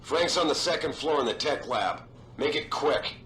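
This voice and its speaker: Bright-voiced brisk boss. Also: male voice, on the high side, lively intonation, echoey room, a little hiss or hum. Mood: urgent, businesslike. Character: brisk, authoritative male company boss. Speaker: boss giving orders. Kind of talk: video game voice acting.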